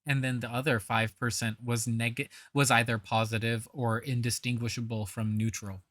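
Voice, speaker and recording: A woman's voice: very deep voice, flat delivery, moderate pace, fairly bright tone, no room sound. A woman commenting on a video, sarcastic and scornful. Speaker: reaction commentator.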